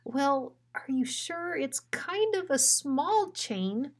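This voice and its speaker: A woman's voice: high voice, very animated, takes it slowly, voice pushed up, exaggerated voice. A woman voicing a small, timid male dog sidekick in a storybook, nervous, hesitant and naive. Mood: anxious.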